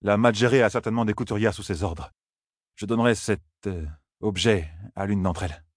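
A man voicing a shy, awkward young shepherd in an audiobook, speaking quietly and hesitantly in fumbling phrases. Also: on the low side, a little fast, no room sound, studio quality, natural voice. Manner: hesitant, fumbling, quiet, breaking off mid-sentence.

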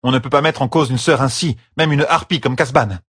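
A man's voice: medium pitch, fairly quick, fairly bright tone, breathy edge, no echo, clean studio sound, pitched above the performer's own voice. A man voicing a haughty, stern woman healer in an audiobook, with a curt, imperious and formal manner. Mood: indignant.

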